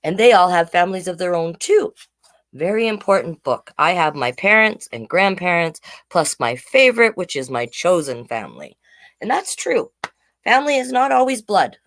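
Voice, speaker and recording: Woman storyteller with very lively pitch swings. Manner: simple, child-directed explaining with tag questions. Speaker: children's storytime host and reader. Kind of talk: picture-book read-aloud with chatty asides.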